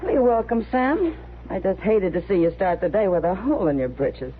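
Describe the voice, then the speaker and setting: A woman's voice: mid-range voice, animated, lightly acted. Woman playing a firm frontier saloon woman, tinny radio. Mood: kindly, practical. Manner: brisk, kindly but firm. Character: protective, plain-spoken frontier saloon woman. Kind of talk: 1950s radio western acting. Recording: noisy, tinny old radio broadcast.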